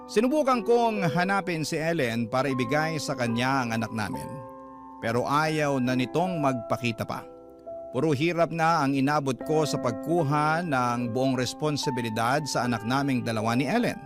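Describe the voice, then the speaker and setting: A man's voice: medium pitch, normal speed, close to an everyday voice. A man narrating as a remorseful young father, confessing his story earnestly in a radio drama. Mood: sorrowful. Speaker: letter sender narrating. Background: music.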